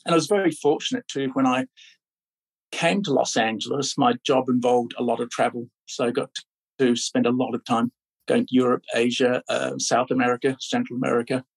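Gravelly, husky middle-aged man. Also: mid-range voice, brisk, rough recording. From born in Scotland, raised in Australia. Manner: relaxed, rambling, many fillers. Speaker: guest. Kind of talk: casual interview chat.

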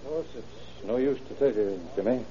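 An actor playing a firm, admonishing but patient police detective chief in a classic radio crime drama. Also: male voice, mid-range voice, moderate pace, mellow, close to an everyday voice. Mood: stern, exasperated. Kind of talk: 1940s-50s radio crime drama acting. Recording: thin, narrow old radio recording.

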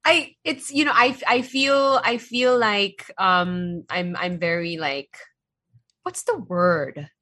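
A woman with a bright but husky voice, talking casually as a guest on a show. She is thoughtful and hesitant, feeling her way through what she says. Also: mid-range voice, slow pace. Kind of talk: casual chat.

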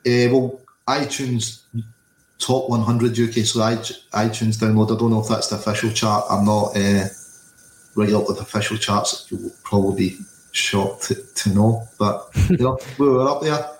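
A man's voice: on the low side, steady tone, moderate pace, slight background noise. A Scottish man co-hosting a casual football podcast over a video call. He rambles and repeats himself, with lots of you-knows. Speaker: podcast co-host. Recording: echoey room with faint ambience.

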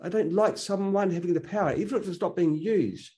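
A man's voice: high voice, brisk, mellow. A man speaking as an interview guest in a casual conversation, earnest and opinionated, often restarting his sentences.

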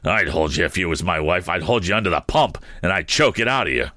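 A gruff, sullen journeyman smith who growls out his resentment, as a man reads him aloud. The voice sits low, and the sound is dry and close. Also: expressive, fast, bright, forceful tone, dry recording. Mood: growling. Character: gruff, surly young blacksmith's journeyman.

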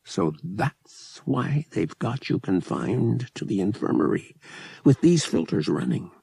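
A young pilot acted by a male narrator talks in a slangy, casual way with a sympathetic edge. The voice is husky and creaky, in a dry audiobook recording. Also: on the low side, sing-song, a little slow, mellow, no echo, exaggerated voice.

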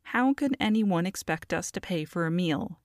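A woman's voice: on the low side, lively intonation, moderate pace, darkish tone, no room sound, studio quality, close to an everyday voice. A woman voicing a feisty, scheming goblin mother in an audio roleplay, sassy and haughty as she talks to a captive. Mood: indignant. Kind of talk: ASMR audio roleplay monologue.

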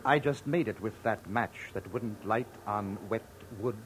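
A man acting a defensive, haughty gentleman suspect in a 1940s radio drama. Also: on the low side, a little slow, lightly acted. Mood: testy, defensive. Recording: noisy old radio recording.

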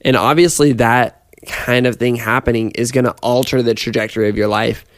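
A man's voice: medium pitch, fairly even intonation, a little fast, no room sound, studio quality. A man hosting an interview, asking brief and curious follow-up questions.

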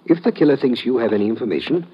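An actor playing a dry, teasing police inspector in an old radio drama. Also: male voice, medium pitch, animated, rapid-fire, natural voice. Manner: dry, teasing, clipped British phrasing. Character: dry, wry British police inspector, middle-aged man. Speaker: Scotland Yard inspector. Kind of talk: 1950s radio drama acting. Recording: dull old radio recording with background hiss.

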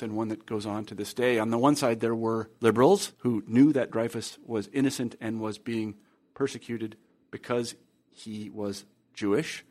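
An American man from the Midwest with a general American accent, hosting a history book interview in a conversational way with many fillers and restarts. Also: mid-range voice. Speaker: podcast host. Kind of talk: interviewing an author.

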